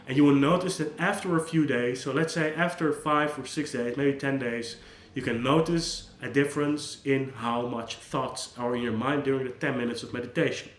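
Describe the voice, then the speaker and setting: A man whose first language is Dutch speaks in an earnest, conversational way, often restarting his sentences. His voice sits at a middle pitch for a man. The room around him has a noticeable echo. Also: steady tone, normal speed, hardly any pauses, a little hiss or hum. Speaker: psychologist, channel host. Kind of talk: unscripted talk to camera.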